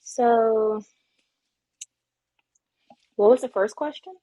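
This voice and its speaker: A woman co-hosting a podcast, answering questions in a measured, careful way in casual conversation. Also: a little high, warm tone, no room sound, rough recording. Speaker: podcast co-host.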